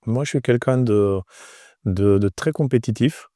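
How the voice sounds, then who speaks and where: A man in his forties from Romania, answering interview questions conversationally, with frequent pauses and repeated words. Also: mid-range voice, warm tone, dry recording, clean studio sound. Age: 40s. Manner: hesitant, pausing often with repeated words. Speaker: entrepreneur, interview guest.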